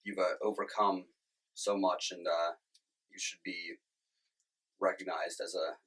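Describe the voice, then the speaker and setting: A man who works in the fire service, talking about his stutter in a documentary interview, halting with many fillers and restarts. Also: deep voice, little pitch movement, a bit bright, breathy edge, low-quality recording. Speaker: firefighter and ambulance worker.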